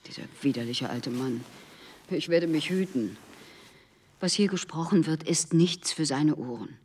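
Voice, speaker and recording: A woman playing a practical, protective wife in a German radio play, matter-of-fact and firm, with a slightly husky voice. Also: low voice, expressive, unhurried, darkish tone, far from the mic, slight background noise. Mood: protective, indignant.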